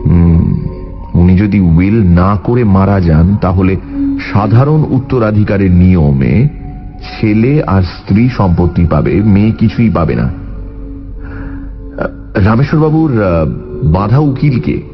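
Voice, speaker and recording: A shrewd private detective in a radio drama, polite and probing, with a low thoughtful voice. The sound is a little dull, over quiet music. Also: male voice, expressive, slow pace, pauses often, full character voice. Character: shrewd, courteous male private detective questioning a witness. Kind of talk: Bengali radio drama dialogue. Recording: muffled radio audio with faint music.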